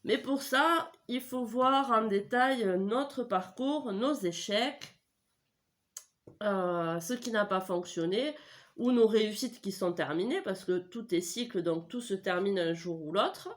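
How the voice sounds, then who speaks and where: A woman with a blocked nose from a cold reads tarot cards at home, speaking into a desk microphone. She chats in a relaxed, rambling way, with plenty of hesitations, fillers and self-corrections. Her voice sits at an ordinary middle pitch for a woman. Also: takes it slowly. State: stuffy head cold, voice not in form. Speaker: tarot reader. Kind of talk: casual tarot reading video.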